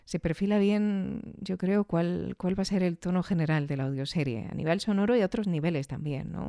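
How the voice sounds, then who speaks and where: Mellow-voiced woman podcast host. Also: low-pitched, no room sound, clean studio sound. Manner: thoughtful, halting phrases with rhetorical tags. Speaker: host of a podcast on audio fiction. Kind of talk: reflective spoken review.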